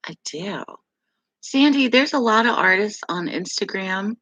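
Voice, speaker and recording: A middle-aged woman from West Virginia with a deep, gravelly voice, hosting a casual live chat with viewers, rambling and laughing often. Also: animated, unhurried, pushed and bright, dry recording, muffled recording. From West Virginia, USA. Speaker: live stream host.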